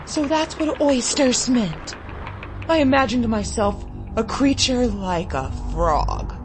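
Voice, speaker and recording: Slow, high-voiced woman reading a story. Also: music in the background. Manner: expressive, vivid, deliberate. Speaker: story reader. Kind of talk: dramatic first-person literary narration.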